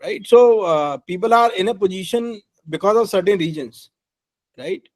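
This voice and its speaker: A man with an Indian English accent, a guest speaker and engineering head giving career advice with a loud, emphatic delivery, slipping between English and Hindi and often checking in with "right?". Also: high-pitched, normal speed, no room sound.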